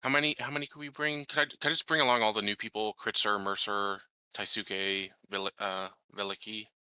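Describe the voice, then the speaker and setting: A man with a fairly low but very bright, forceful voice chats casually as a player in a tabletop game. He talks through plans in an everyday conversational way, in a close, dry recording. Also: steady tone, a little fast, clean tone, no room sound. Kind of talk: casual planning chat during a game.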